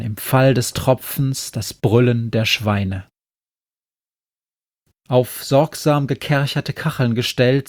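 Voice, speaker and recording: Man, dramatic poetry reading, unhurried, solemn, emphatic, dry studio-like sound. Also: mid-range voice, dry recording. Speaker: poet reading own poem.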